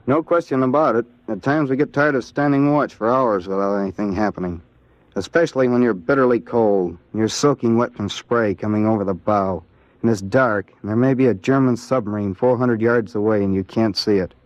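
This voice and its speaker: A man with an American accent answering in a scripted 1940s radio interview as a Navy seaman, in a plain, earnest, measured way. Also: fairly low pitch, dry recording, natural voice. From Ohio, United States. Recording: dull vintage 1940s radio recording.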